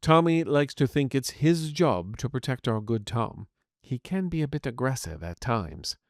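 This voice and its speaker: A man voicing a civil, apologetic peacemaker, an inner personality in an audio story, speaking in a measured, genteel way. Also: mid-range voice, lively intonation, no room sound, clean studio sound, close to an everyday voice. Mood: apologetic, reproving. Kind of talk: scripted audiobook character acting.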